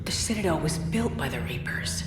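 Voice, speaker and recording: An actress playing a tough, determined military commander in a video game, speaking bluntly and decisively. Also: female voice, deep voice, sing-song, moderate pace. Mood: firm. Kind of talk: scripted video game dialogue. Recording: echoey game audio under loud music.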